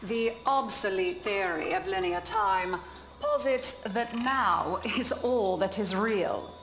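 An unhurried female teaching program from a sci-fi game, played by an actress, formal and measured. The voice echoes over clear background music. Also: mid-range voice, close to an everyday voice. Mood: solemn, instructive. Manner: measured, instructive. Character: calm female computerised lesson narrator. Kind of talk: scripted educational narration.

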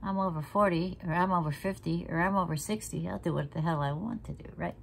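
A woman's voice: lowish voice, a little fast, gravelly, a little hiss or hum. Chatty, feisty woman in her sixties. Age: 60s. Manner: chatty, frank and feisty, drops to half-whispered asides. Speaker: makeup vlogger. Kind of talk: casual makeup tutorial chat. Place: living room at home, indoors. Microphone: camera close in front.

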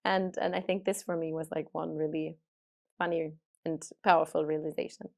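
A woman guest talking about neuroscience research on a video call, chatty and animated, with many fillers and restarts. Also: low voice, normal speed, gravelly. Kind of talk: casual video-call conversation.

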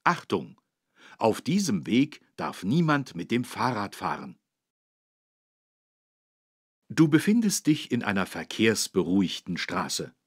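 A man's voice: on the low side, husky, no room sound, studio quality, exaggerated voice. A man playing a kindly policeman who patiently and emphatically explains things to children in an educational game. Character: kindly, careful policeman teaching children road safety.

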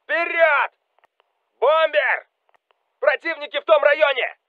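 Urgent Russian soldier, high-pitched radio barks. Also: male voice, takes it slowly, dry recording, phone-quality sound. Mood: urgent shouting. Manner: urgent, commanding. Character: Russian special forces soldier calling out battle reports. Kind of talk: military game voice lines, clipped barks.

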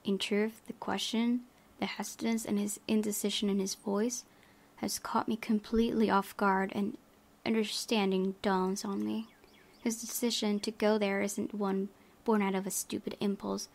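A woman's voice: mid-range voice, level tone, moderate pace, creaky, a little mellow. A young woman hosting a let's play, reading visual novel narration aloud expressively.

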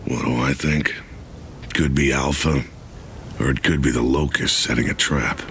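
Gravelly, terse soldier. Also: male voice, very deep voice, steady tone, normal speed, fairly bright tone, slight background noise. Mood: grim. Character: grim gravel-voiced male soldier, terse, sardonic. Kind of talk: video game cutscene acting.

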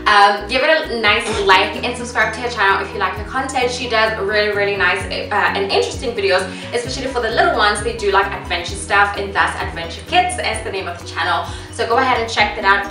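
A chatty young woman in her twenties talks through an easy recipe in her home kitchen. She sounds upbeat and relaxed, laughing easily. The camera stands a few steps away, so her voice comes with a roomy echo and music plays underneath. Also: a little high, distant mic. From South Africa. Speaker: cooking vlogger. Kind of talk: casual cooking tutorial. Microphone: camera on tripod at a distance.

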